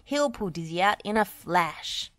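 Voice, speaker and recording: Storybook machine: eager, hearty construction truck with animated, bold delivery, read by a woman. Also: medium pitch, a little slow, dry recording. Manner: hearty, loud.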